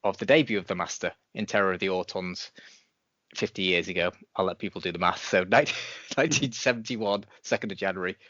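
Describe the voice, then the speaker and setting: A man's voice: low voice, a little fast, a bit bright, dry recording. A man hosting a review podcast, chatting casually. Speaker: podcast host. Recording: dull, dry podcast audio.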